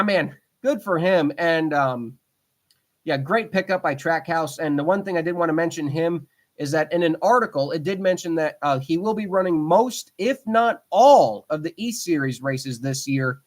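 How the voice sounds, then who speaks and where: A man in his twenties hosts a casual podcast by himself. His voice sits a little on the high side. He rambles with plenty of ums and restarts, and he talks with a fan's eager enthusiasm. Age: 20s. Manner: rambling, frequent fillers and restarts; enthusiastic fan talk. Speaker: podcast host. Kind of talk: casual solo podcast chat.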